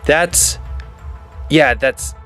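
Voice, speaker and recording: An actor playing an earnest, nervous teenage boy in an audio drama, speaking in a halting, stammering way. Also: fairly high pitch, fairly bright tone. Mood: hesitant, worried. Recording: music under the voice. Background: prominent music.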